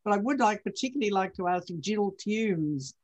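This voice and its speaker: Woman moderator, mid-pitch, brisk video-call host. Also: lively intonation, a little mellow. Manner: brisk, prompting. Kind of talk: chairing a video Q&A.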